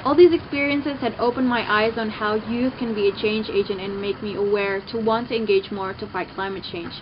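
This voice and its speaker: A woman's voice: mid-range voice, steady tone, a little fast. A young woman from Indonesia, giving an earnest prepared statement as a youth delegate, with small hesitations. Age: young adult. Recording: slightly dull, noisy recording.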